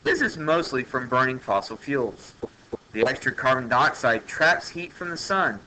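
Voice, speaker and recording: Bright-voiced man reading a lesson aloud. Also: medium pitch, very animated, normal speed, slight background noise, low-quality recording. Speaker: teacher reading a textbook aloud. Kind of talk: educational read-aloud narration.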